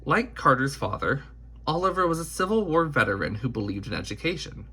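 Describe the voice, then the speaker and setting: A man reads a storybook aloud with deliberate emphasis. His voice is bright and forward with a rough, husky grain, and his pitch moves in an animated way from phrase to phrase. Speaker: picture book reader. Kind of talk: scripted storybook read-aloud.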